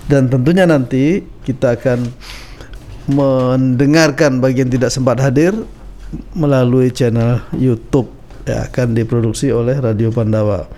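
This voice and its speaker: A man's voice: medium pitch, fairly even intonation, measured pace, mellow. A man hosting a casual radio interview, chatty and loose with fillers, a bit stuffy from a cold. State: slightly congested with a cold. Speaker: radio talk show host. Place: radio studio.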